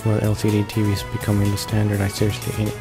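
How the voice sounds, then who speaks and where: A man reading a visual novel aloud as a let's play narrator, haltingly, stumbling and repeating words. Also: lowish voice, monotone. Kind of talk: read-along of a visual novel. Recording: voice-over with game music behind.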